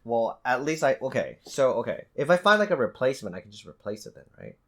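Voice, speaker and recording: A man's voice: mid-range voice, very animated, fast talker, fairly bright tone. A man co-hosting a gaming podcast, bantering casually with friends and swearing bluntly.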